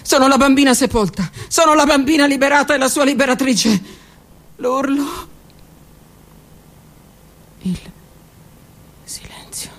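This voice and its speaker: A woman performing a theatrical one-woman monologue, delivered dramatically, moving between loud outbursts and hushed whispers. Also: high-pitched, measured pace, long pauses. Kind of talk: theatrical monologue broadcast on radio.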